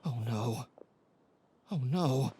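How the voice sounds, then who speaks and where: A man's voice: medium pitch, animated, drawn-out words, dark tone, breathy edge, exaggerated voice. A man playing an anxious, remorseful young scholar in a video game, fretful and apologetic. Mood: panicked.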